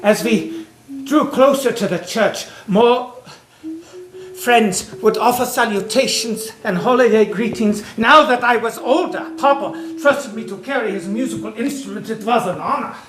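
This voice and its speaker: A man's voice: very high-pitched, a little slow. An actor in a stage play playing a devout, wistful imprisoned man in love, speaking in a dreamy, dramatic storytelling manner. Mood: awed, reverent. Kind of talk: theatrical stage-play monologue and dialogue. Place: stage, recorded with room echo. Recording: echoey stage with background noise and clicks.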